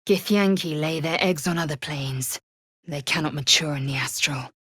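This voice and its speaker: A woman's voice: low-pitched, moderate pace, a bit bright, slight background noise. A woman voicing a fierce, haughty alien warrior in a fantasy video game, with an imperious, clipped, solemn delivery. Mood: grave, observant.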